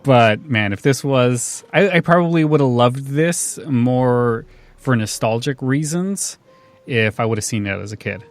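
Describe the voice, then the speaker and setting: A man's voice: mid-range voice, unhurried, dry recording. A man hosting a podcast in a casual, chatty style. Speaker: podcast host.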